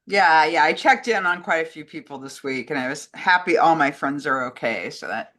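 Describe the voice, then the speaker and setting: A woman's voice: low voice, lively intonation, normal speed, a bit bright. A woman co-hosting a casual chat over an online call. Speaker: co-host, communications director.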